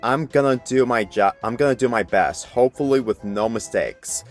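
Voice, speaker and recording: A man's voice: medium pitch, normal speed, soft background music, lightly acted. A man voicing a hapless, earnest male delivery pony in a story reading. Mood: grateful, hopeful.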